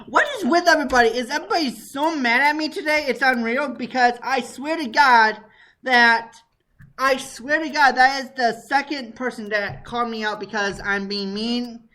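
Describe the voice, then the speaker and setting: A man with a high voice hosting a podcast, talking in an excitable, casual way and laughing easily. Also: normal speed, poor audio. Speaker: podcast host. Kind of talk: casual chat.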